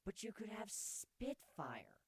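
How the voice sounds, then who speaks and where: A man voicing a playful, affectionate young female changeling pony with a breathy, coaxing voice in a fan fiction reading. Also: sits high, wildly swooping pitch, slow pace, voice pushed up, exaggerated voice. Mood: insistent, bewildered. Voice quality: breathy, hushed. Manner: teasing, coaxing, tender.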